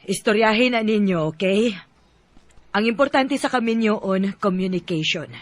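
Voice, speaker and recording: A woman with a Cebuano Filipino accent playing a concerned middle-aged mother, gently insistent as she counsels in a radio drama. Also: medium pitch, normal speed, no echo, lightly acted. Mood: advising. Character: concerned, advising mother of a grown son. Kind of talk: Bisaya radio drama acting.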